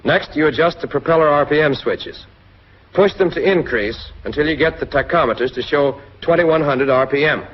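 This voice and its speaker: A man's voice: on the high side, normal speed. An American man reads scripted narration for a 1940s military training film, addressing the listener directly in an instructive way. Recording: muffled, husky-sounding 1940s film soundtrack.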